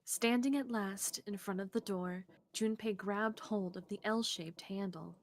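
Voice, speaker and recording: A woman's voice: mid-range voice, measured pace. A woman streamer reading a visual novel's narration aloud, with casual asides. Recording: faint background noise.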